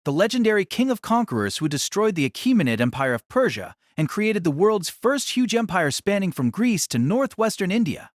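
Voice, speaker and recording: A man's voice: high-pitched, very animated, fairly quick, hardly any pauses, no room sound, clean studio sound. A man narrating a light novel in a dramatic, scripted storytelling style.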